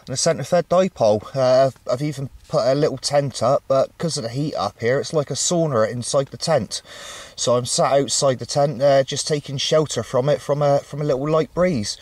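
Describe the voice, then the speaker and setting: A man chatting casually as a CB radio operator, with a halting delivery, recorded outdoors. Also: on the high side, no echo. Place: outdoors on a mountain top.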